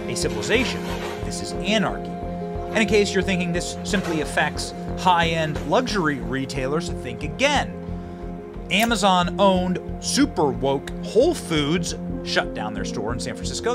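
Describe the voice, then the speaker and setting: A man doing voice-over commentary in an emphatic, dramatic manner, building through repeated phrases. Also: a little high. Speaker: narrator and political commentator. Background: prominent music under the voice.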